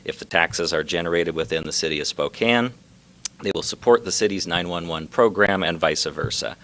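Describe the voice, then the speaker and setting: A man giving a measured, formal prepared speech as a local official at a public announcement. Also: sits low, fairly bright tone.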